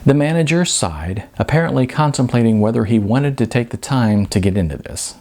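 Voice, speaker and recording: A man's voice: medium pitch, animated, a little fast, warm tone. A man narrating his own novel as an audiobook, reading fiction as its author.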